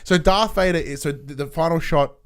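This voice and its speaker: A man's voice: fairly high pitch, fairly quick, clean tone. A man co-hosting a movie podcast in casual, informal conversation, swearing freely as he talks. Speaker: podcast co-host.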